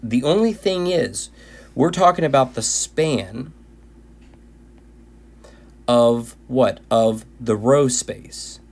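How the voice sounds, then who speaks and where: A man teaching linear algebra in a recorded video lecture, his voice tired and going hoarse, often restarting and repeating phrases. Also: mid-range voice, animated, slow, pauses often. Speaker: math teacher.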